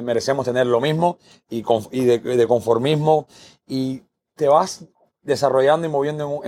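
A man's voice: medium pitch, darkish tone. Cuban male guest, earnest storyteller, mid-range voice, repeats himself for emphasis. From Cuba. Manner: earnest, repeats words while building a point. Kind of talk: podcast interview, personal story.

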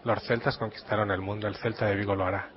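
A man's voice: fairly low pitch, flat delivery, fast, a little hiss or hum. A man from Galicia, a novelist, answering interview questions in a reflective, literary way with dry humor. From Galicia, Spain. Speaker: novelist guest. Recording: dull lo-fi radio sound.

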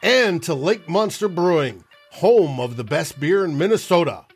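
A man's voice: fairly high pitch, animated, moderate pace, breathy edge, soft background music. A man co-hosting a sports podcast, wordy and reading out sponsor plugs. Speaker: podcast co-host. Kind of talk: casual chat.

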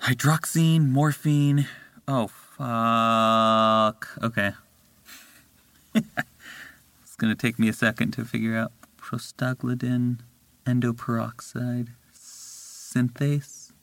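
A man's voice: medium pitch, takes it slowly, pauses often, smooth, no room sound. A man recording voice lines who trips over hard names, curses and tries again. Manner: lively, breaks off to curse and retry. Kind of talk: blooper outtakes, swearing at tricky words.

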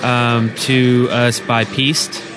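A man with a mid-range voice explains things on a podcast. His delivery is casual and conversational, and music plays clearly in the background. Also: medium pitch, flat delivery, very slow. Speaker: podcast co-host. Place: indoors at a LAN party.

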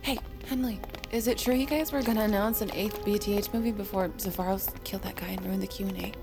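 An actress playing an earnest, gushing teenage fangirl with a quick temper in an audio drama. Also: medium pitch, fairly even intonation, creaky, over music, close to an everyday voice. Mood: doubtful. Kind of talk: audio drama comedy acting.

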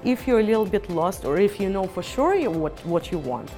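A woman's voice: medium pitch, lively intonation, fairly quick, dark tone, soft background music. A woman from Ukraine, an artist, speaking earnestly and reflectively about her own life. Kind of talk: reflective interview testimony.

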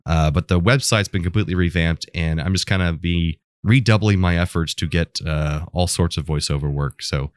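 Low-pitched American man chatting on a podcast. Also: normal speed, hardly any pauses, no echo. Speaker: podcast co-host, voice actor. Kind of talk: casual podcast chat.

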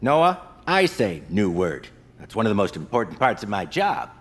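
Animated, cheery radio quiz host. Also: male voice, fairly low pitch, moderate pace. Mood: firm, genial. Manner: politely officious. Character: cheerful, unflappable male radio game-show host. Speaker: game show host. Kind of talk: showy quiz-show presenting in audio drama.

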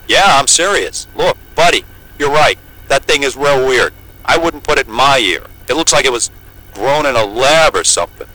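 An uneasy, bright-voiced male pilot. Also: medium pitch, expressive, moderate pace, rough recording. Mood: concerned. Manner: hesitant, colloquial. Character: plain-spoken, uneasy male pilot, crude but well-meaning. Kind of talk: naturalistic audio drama acting.

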